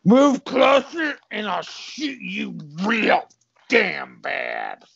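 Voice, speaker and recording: A performer voicing a dim, childish cop who whines and wails in slow, drawn-out words. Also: mid-range voice, big pitch swings, gravelly, fairly bright tone, husky, no echo, muffled recording, voice pushed up, full character voice. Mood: indignant. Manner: wailing, slow, drawn-out delivery.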